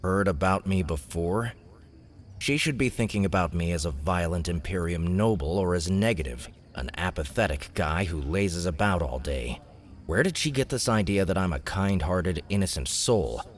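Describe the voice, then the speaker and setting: Really deep guy voice narrating a fantasy audiobook, scripted storytelling. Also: expressive, normal speed, a little hiss or hum.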